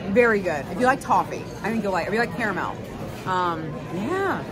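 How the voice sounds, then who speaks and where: A woman's voice: mid-range voice, music in the background. Chatty woman vlogging in a busy restaurant. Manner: chatty, lively, quick asides and laughs. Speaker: food vlogger host. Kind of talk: casual food review vlog. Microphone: phone or camera at arm's length. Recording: noisy crowded restaurant. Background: crowd chatter.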